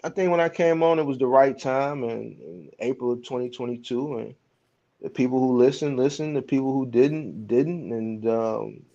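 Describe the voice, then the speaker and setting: A man's voice: medium pitch, moderate pace, warm tone, lo-fi sound. A man in casual conversation with a colloquial, slangy way of talking. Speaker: online trucking content creator. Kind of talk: casual chat.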